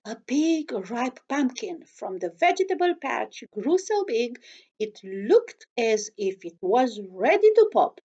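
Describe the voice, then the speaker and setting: Woman, children's story reader, unhurried pace, expressive, chatty asides, slightly dull lo-fi recording. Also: on the high side. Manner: expressive, chatty, addresses young listeners with questions. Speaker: storyteller reading aloud to children. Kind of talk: animated read-aloud of a children's storybook with asides.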